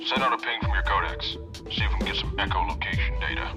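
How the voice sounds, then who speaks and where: A man playing a sardonic, excitable hacker who guides an agent, drawling his lines in an audio drama. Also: low-pitched, fast talker, over music. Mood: amused, guiding.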